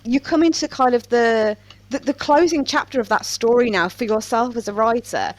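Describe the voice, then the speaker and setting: A woman's voice: on the high side, normal speed. A woman hosting a podcast in a casual interview style, with a playful, wry way of talking. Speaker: podcast host.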